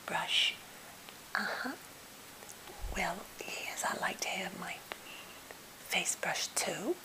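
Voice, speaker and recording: A woman playing a timid, slightly odd captive who is eager to please, speaking in a halting, gentle, polite whisper for an ASMR role play. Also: on the low side, low-quality recording. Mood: soothing, fussy. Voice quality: breathy whisper with fry.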